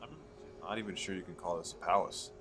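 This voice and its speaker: A man playing an eager, chatty fantasy merchant in an ASMR roleplay, pitching his magical wares to the listener in a coaxing, conversational way. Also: fairly even intonation, fast talker, over music, lightly acted. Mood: candid, musing. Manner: coaxing salesman, conversational, hesitant asides.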